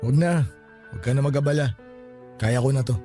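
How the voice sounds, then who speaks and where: An actor playing a grieving, guarded and easily frightened son in a Filipino radio drama, speaking colloquially with emotion. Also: male voice, medium pitch, fast, warm tone, close to an everyday voice. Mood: guarded, weary. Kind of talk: Tagalog radio drama acting. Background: background music.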